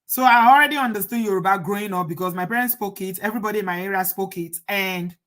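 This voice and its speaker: A woman's voice: mid-range voice, quick pace, fairly bright tone. A middle-aged Nigerian woman hosting a casual live chat, reading viewer comments and asking rhetorical questions. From Nigeria, Edo State. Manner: chatty, rhetorical, laughs at the topic.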